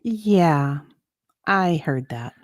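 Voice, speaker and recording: A woman's voice: lowish voice, expressive, very slow, no echo, studio quality. A woman chatting casually and reading witness answers as a guest, with an easygoing manner. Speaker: guest reader.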